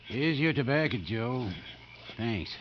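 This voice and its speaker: A nervous, hesitant male convict in old radio drama style. His pitch moves a lot, and the 1950s recording is dull, with clear noise behind. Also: lively intonation, slow pace. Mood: uneasy.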